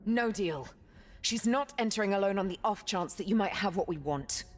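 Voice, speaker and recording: A woman's voice: medium pitch, lively intonation, normal speed, a little hiss or hum, close to an everyday voice. A woman voicing a fierce, defiant young warrior daughter in a video game, blunt and combative. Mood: stubborn irritation. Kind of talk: video game cutscene acting.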